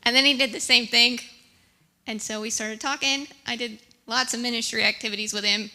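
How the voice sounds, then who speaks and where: A woman's voice: on the high side, fairly even intonation, a little fast, bright, forceful tone. A woman missionary telling a story in a casual, chatty way to a church. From United States. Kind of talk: church presentation. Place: church sanctuary.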